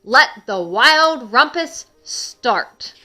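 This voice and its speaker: Lady doing a bossy wild little boy from a picture book, loud n defiant, bright voice. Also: fairly high pitch, lively intonation, extremely slow, pitched above the performer's own voice, exaggerated voice. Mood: commanding. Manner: loud, commanding, emphatic. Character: wild, defiant young boy in a wolf suit.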